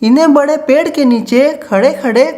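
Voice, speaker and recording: A woman's voice: high-pitched, moderate pace, warm tone. A woman reading scripted narration for a children's cartoon story.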